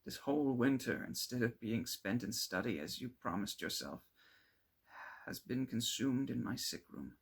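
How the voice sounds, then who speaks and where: Man playing a husky, haunted young gentleman. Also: medium pitch, expressive, normal speed. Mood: grateful remorse. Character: feverish, guilt-ridden young man, haunted and emotional.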